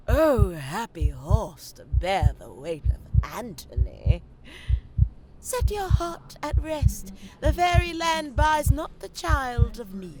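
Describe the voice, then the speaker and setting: A young woman playing a stressed, self-questioning theater student in a dramatic monologue, with theatrical, deliberate delivery. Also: medium pitch, darkish tone, slight background noise, low-quality recording. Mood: grand, theatrical. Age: young adult. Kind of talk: dramatic monologue in an audio play.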